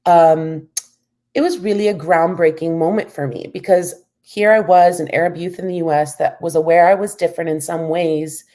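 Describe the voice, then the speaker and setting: American woman guest, low warm voice, reflective, conversational. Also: steady tone, normal speed. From United States. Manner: thoughtful, frequent "you know". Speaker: guest academic. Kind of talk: interview answers.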